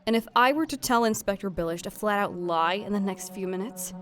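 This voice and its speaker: A woman voicing a brisk, formal, scheming female staff aide, articulate and dryly businesslike, in a dramatic fandub. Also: medium pitch, crowd noise, lightly acted. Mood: pointed, urgent.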